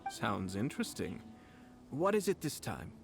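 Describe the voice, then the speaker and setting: An actor playing a calm, refined, polite noble commissioner in a video game. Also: male voice, on the low side, creaky, exaggerated voice. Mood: genial. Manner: composed, measured, polite. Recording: game audio, faint music.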